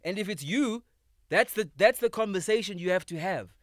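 A man in his thirties, a comedian guest in a podcast studio, talking casually, emphatic and animated, swearing freely. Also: sits high, normal speed, no echo. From South Africa. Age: 30s. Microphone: studio microphone.

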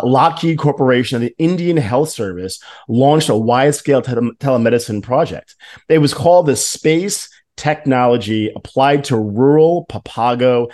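A man's voice: medium pitch, darkish tone. A man hosting a podcast, explaining background in a semi-scripted way. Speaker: podcast host.

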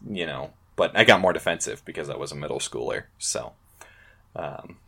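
Um homem apresentando um podcast em conversa descontraída, cheio de hesitações e recomeços. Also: male voice, rumbling low, lively intonation, moderate pace, creaky, pushed and bright, slightly rough. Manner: rambling, many fillers and restarts. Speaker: podcast host. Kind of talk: casual podcast chat.

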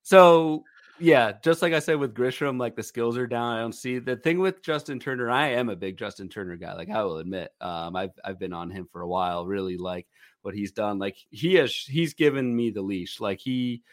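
A man's voice: mid-range voice, moderate pace, no room sound, studio quality. A man in his thirties co-hosting a podcast, talking through fantasy baseball players casually with lots of fillers and restarts. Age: 30s. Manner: thinking aloud, many fillers and restarts. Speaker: podcast co-host.

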